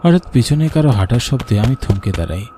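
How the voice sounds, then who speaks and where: A man's voice: medium pitch, expressive, fast, background noise. A man narrating a scripted first-person horror story as the storyteller.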